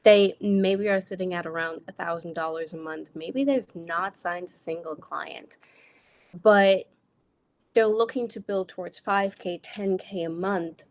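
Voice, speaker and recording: A woman's voice: on the low side, a little slow. A young adult woman from Germany with an American accent, talking directly and energetically as a business coach in a solo live video. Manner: energetic, direct, casual with occasional swearing.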